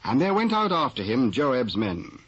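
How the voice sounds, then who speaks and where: A British man narrating scripture from a script in a formal, measured storytelling manner. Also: medium pitch, big pitch swings, fairly bright tone, breathy edge. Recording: muffled old cassette.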